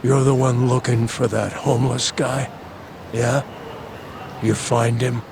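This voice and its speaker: A man playing a gruff, sly older street tough with an offhand, laconic way of talking in a video game. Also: mid-range voice, flat delivery, slow pace, background noise. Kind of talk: video game cutscene acting.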